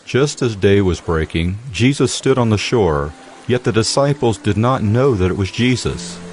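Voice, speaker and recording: A man narrating scripture in a steady, solemn scripted reading. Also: on the low side, normal speed, a little hiss or hum. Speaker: Bible narrator. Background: music.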